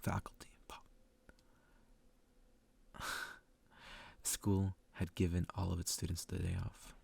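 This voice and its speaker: A man doing a soft ASMR reading of a story, sometimes stumbling over harder words. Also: low-pitched, creaky, a little hiss or hum. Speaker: ASMR creator reading a visual novel aloud.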